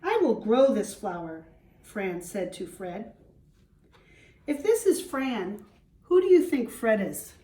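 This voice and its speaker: A woman schoolteacher reading a children's storybook aloud, explaining simply and pausing to put questions to young listeners. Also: mid-range voice, big pitch swings, mellow. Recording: roomy echo, distant microphone.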